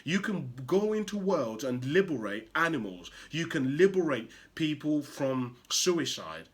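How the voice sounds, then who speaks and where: A man from Uganda hosting a motivational show, talking emphatically with rhetorical questions and swinging from loud to hushed. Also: a little high, big pitch swings, unhurried. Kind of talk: impassioned motivational monologue.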